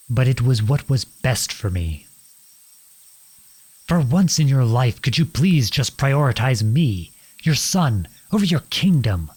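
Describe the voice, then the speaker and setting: A man playing a defiant young outlawed prince in a dramatic audio roleplay, speaking earnestly and deliberately in a husky voice. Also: mid-range voice, a little mellow, exaggerated voice. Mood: pleading, shocked. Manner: earnest, deliberate, pleading and accusing. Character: defiant outlawed young prince confronting his cruel king father.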